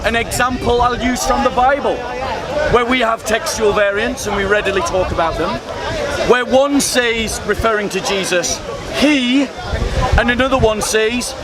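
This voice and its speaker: A man's voice: very high-pitched, normal speed. A man debating in public, pressing his point and repeating pointed questions. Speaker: street debater. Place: outdoor public speaking spot. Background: crowd of onlookers.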